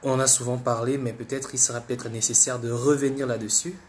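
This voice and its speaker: A man hosts a political livestream while fighting the flu. His voice sits at an ordinary middle pitch, with a faint hiss of noise behind it. He talks in loops, restarting words and pressing his viewers again and again. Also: little pitch movement, fast talker. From Gabon. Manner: repetitive, restarts words, keeps urging viewers. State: has the flu. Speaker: livestream host.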